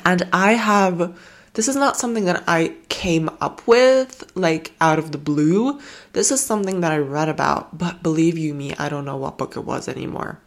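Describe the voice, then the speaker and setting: A woman's voice: on the low side, normal speed. A woman hosting a self-help podcast, talking casually and affectionately with fillers, while she has a cold. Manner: gentle, affectionate, rambling with fillers. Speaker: podcast host. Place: home in Germany.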